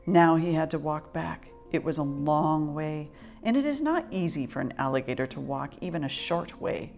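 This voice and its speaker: Expressive low-voiced woman reading a storybook. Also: normal speed, creaky, soft background music. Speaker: storyteller reading a children's picture book aloud. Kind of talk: expressive scripted story narration.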